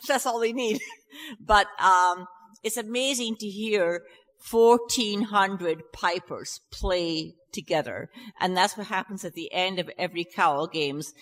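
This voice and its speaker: A woman's voice: medium pitch, measured pace, a bit bright. Chatty Scottish woman in a reverberant hall. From Scotland. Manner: nervous, chatty and self-deprecating, with laughs and restarts. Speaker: festival singer introducing a song. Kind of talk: chatty stage talk to an audience. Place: church hall. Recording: live recording in a reverberant hall.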